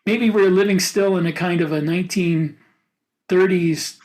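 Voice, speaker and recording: A man's voice: high-pitched, fairly even intonation, moderate pace, darkish tone, rough recording. An American man co-hosting a podcast, talking casually and reflectively in a panel discussion. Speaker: podcast co-host.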